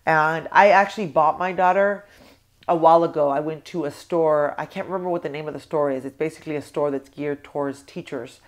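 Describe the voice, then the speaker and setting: Young american mom w a cold, low congested voice, rambly chatty toy vlog at home. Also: female voice. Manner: chatty and rambling, with many ums and restarts. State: congested with a cold, sore throat. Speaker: toy review vlogger. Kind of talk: casual chat to camera.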